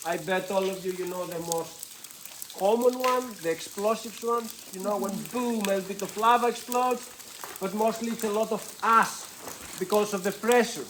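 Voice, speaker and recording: A woman's voice: mid-range voice, moderate pace, a bit bright, slight background noise. Greek-accented woman guiding a cave tour. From Greece. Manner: chatty and playful, joking with the group, loose non-native grammar. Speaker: tour guide. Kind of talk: guided cave tour talk. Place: inside a lava tube cave with a tour group.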